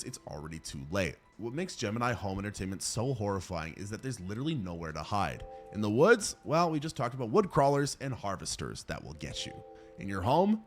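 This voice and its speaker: A man's voice: lowish voice, a bit bright. A man narrating a scripted horror countdown as host, dramatic and emphatic with sardonic asides.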